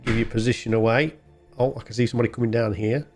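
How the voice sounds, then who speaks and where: A man's voice: medium pitch, steady tone, quick pace. A man hosting a gaming video, chatting casually and thinking aloud as he plays. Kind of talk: casual game play commentary. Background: game music.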